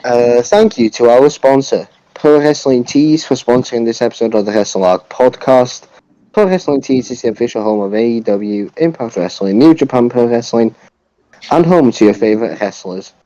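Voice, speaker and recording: A man's voice: mid-range voice, moderate pace, mellow, no room sound. A man co-hosting a podcast in casual chat, recorded dry on a dull, lo-fi mic. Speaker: podcast co-host.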